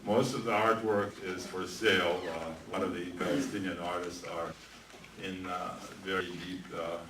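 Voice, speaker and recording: A man making announcements and introducing a speaker at a museum event, halting with many fillers. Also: deep voice, little pitch movement. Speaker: event emcee. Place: museum event room with audience. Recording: echoey room from far off, noisy.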